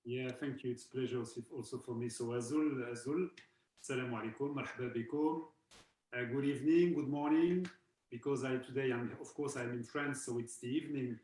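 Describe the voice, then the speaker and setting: A man's voice: mid-range voice, steady tone, fast, darkish tone. Hesitant man on an echoey call. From eastern Algeria. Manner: hesitant, many restarts and fillers. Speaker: percussionist guest. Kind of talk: casual online chat.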